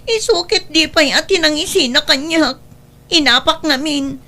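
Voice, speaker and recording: A woman's voice: high-pitched, normal speed, bright, forceful tone. A woman playing an aggrieved, whining young daughter with an Ilocano accent in an audio drama. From Philippines. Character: jilted, pregnant young daughter, whiny and aggrieved. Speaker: voice actor in comedy drama.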